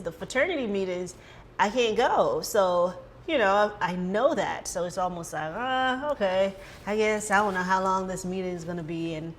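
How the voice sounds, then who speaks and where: An American woman of about forty, chatting casually and playfully as a podcast co-host, acting out bits of conversation. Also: mid-range voice. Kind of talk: casual chat. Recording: clear background noise, room ambience.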